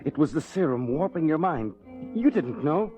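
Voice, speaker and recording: An actor playing a gentle, troubled scientist husband in a 1970s superhero audio drama, soothing and explanatory. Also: male voice, on the high side, sing-song, moderate pace. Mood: apologetic, earnest. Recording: dull 1970s record with music.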